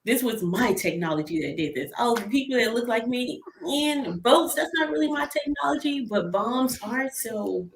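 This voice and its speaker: A woman's voice: on the high side, lively intonation, normal speed, hardly any pauses. A woman co-hosting a casual panel chat, sardonic and given to acting out imagined lines. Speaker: co-host of a movie review show. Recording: clearly noisy mic with clicks.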